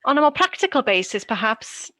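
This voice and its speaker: A woman from Wales giving a prepared conference talk as a panelist, measured and deliberate, pausing often. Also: fairly high pitch, very animated, pushed and bright, no room sound. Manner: measured and deliberate, with frequent pauses.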